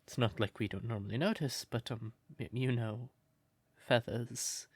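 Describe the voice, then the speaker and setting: A man playing a shy, sweet, curious crow turned human in a roleplay audio, speaking gently and breathily with hesitant stammers. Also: medium pitch, moderate pace, breathy edge, no room sound, natural voice. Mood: shy, grateful. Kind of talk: soft roleplay audio, intimate character acting.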